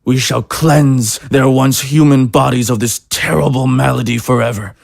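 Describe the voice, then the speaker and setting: An actor playing a fanatical, merciless military captain in an audio drama, pompous and oratorical, with a falsely genial streak. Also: male voice, mid-range voice, fairly even intonation, normal speed, vocal fry, dry recording, clean studio sound. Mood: grandiose, menacing.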